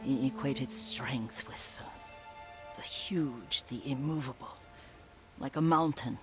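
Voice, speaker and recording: An actress playing a frail, wistful elderly Japanese retainer in a video game, gentle and deferential, with a thin, breathy voice. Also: female voice, a bass voice, slow. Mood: reflective, teaching. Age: older. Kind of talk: dramatic video game acting. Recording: music underneath. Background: game music.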